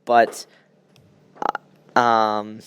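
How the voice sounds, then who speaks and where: A man's voice: fairly low pitch, completely flat delivery, very slow, fairly bright tone, clear, resonant tone. A young man hosting a podcast, chatting casually and giving blunt opinions on sports. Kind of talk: casual sports chat, game predictions.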